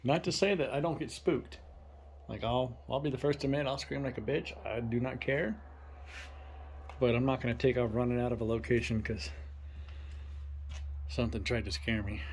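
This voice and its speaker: A young man filming a ghost-hunting vlog in a dark abandoned farmhouse, talking to spirits and loudly challenging them. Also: mid-range voice, moderate pace, long pauses, a little hiss or hum. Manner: loud provoking challenges to the spirits. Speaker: paranormal investigator.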